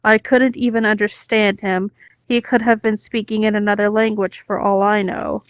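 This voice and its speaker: A woman's voice: medium pitch, flat delivery, normal speed, clear, resonant tone, dry recording. A woman co-hosting a podcast, reading a story aloud and reacting casually, recorded close on a slightly dull microphone. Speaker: podcast co-host.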